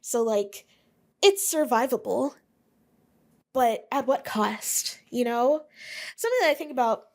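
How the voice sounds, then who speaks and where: A woman's voice: on the high side, expressive, unhurried, pauses often, darkish tone. A young woman from Alabama hosting her own podcast, rambling chattily with lots of fillers. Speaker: podcast host. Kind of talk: casual solo podcast monologue.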